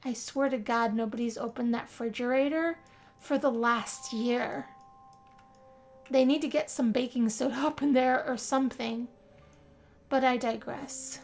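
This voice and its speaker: A woman's voice: fairly high pitch, vocal fry. A woman playing a homesick, dramatic young female music student who complains theatrically in a game role-play narration. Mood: grumbling, musing.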